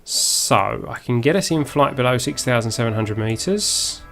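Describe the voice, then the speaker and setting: A man's voice: mid-range voice, expressive, moderate pace, gravelly, a bit bright, faint music behind. Southern English man doing casual game commentary. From Essex, England. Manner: unscripted, muttering to himself as he plays. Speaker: live streamer, gaming host. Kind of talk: casual live gameplay commentary.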